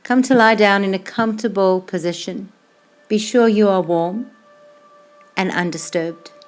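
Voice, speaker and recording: A woman leads a guided relaxation as a yoga instructor, with music playing behind her. Her pitch sits in the middle for a woman. She speaks slowly and soothingly, letting the ends of phrases fade softly. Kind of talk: guided meditation, slow calm instruction.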